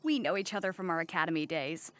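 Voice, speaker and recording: A woman's voice: low voice, animated, quick pace, a bit bright. A woman playing an eager, naive, cheerful crew member in a sci-fi comedy, perky and wide-eyed. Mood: eager, chatty.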